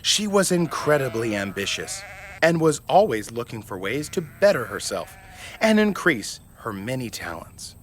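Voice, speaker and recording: A man narrating a scripted family story in an expressive storytelling manner. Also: medium pitch, normal speed.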